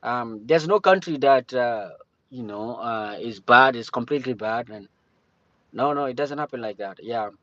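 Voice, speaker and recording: Halting Cameroonian-born man, dull lo-fi audio. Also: medium pitch, bright, forceful tone, no echo. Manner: halting, many restarts and fillers. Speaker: livestream host. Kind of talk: casual livestream chat.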